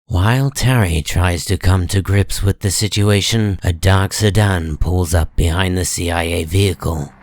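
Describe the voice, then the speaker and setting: A man narrating a scripted comic story in a measured, deliberate dramatic style. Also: low voice, dry recording.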